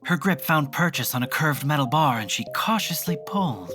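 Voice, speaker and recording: A narrator of uncertain sex telling a horror audio drama in scripted, deliberate storytelling phrases. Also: medium pitch, animated, over music, close to an everyday voice.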